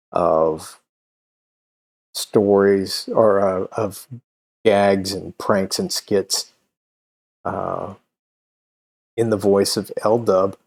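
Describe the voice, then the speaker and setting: Male host for informal show intro: somewhat low register, unhurried, deliberate, halting delivery. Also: darkish tone. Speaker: host and comedy performer.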